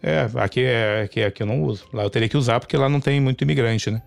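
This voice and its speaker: Fast-talking man, animated storyteller. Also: medium pitch, gravelly, dry recording, faint music behind. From Brazil. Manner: animated storytelling with frequent "sabe?" and "cara". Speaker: Brazilian YouTuber living in the US. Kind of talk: casual conversation.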